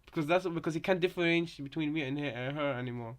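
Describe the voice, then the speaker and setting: A man's voice: a little high, fast talker, noisy background. A man giving casual reaction commentary, hesitant and repeating himself as he thinks aloud. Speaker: reactor, fan theorist.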